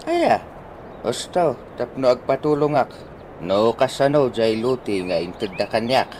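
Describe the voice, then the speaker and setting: A man's voice: mid-range voice, very animated, measured pace, darkish tone, a little hiss or hum. A man with an Ilocano accent acting an earnest older village man in a radio drama. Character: older village man seeking help with his land. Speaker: voice actor in radio comedy drama.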